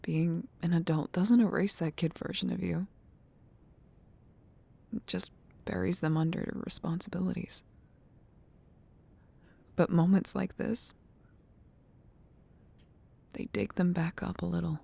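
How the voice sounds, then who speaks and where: A woman playing a gentle, nostalgic childhood friend in an ASMR roleplay, speaking softly and breathily, tender and unhurried. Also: fairly low pitch, full character voice. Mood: wistful, tender. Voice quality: soft, breathy near-whisper with creaky fry. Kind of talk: ASMR roleplay, soft intimate talk.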